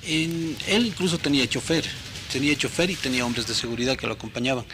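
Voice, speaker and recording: A man, a police major speaking in a TV studio interview, official in tone, often pausing and adding "no?". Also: medium pitch, background noise. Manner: measured, official, many hesitations and tag "¿no?". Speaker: police major, anti-corruption unit chief.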